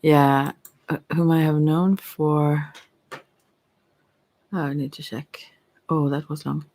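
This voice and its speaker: Woman, slow pace, creaky voice, hesitant rambling ASMR chat, close mic. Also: low-pitched, level tone, darkish tone, a little hiss or hum. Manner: hesitant, meandering, giggly asides. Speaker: ASMR tarot reader.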